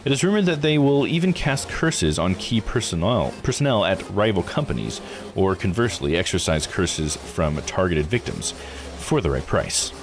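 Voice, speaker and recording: A man's voice: low-pitched, sing-song, normal speed. A man streaming a visual novel, reading text aloud and adding casual commentary. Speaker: game streamer. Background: music behind the voice.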